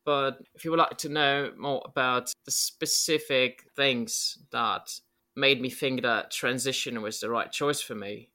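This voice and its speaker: Bright-voiced man, rambling guest. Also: medium pitch, fairly even intonation, measured pace. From Poland. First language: Polish. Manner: rambling, reflective, many filler likes. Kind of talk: casual interview talk.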